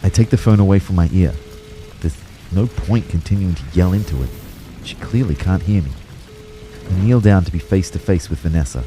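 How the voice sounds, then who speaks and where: A man's voice: low-pitched, fairly quick, soft background music, natural voice. A young man playing an anxious narrator of his own out-of-body ordeal in an audio drama. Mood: tense, reflective. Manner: expressive, shifting from hushed narration to urgent pleading. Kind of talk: first-person audio drama narration with acted dialogue.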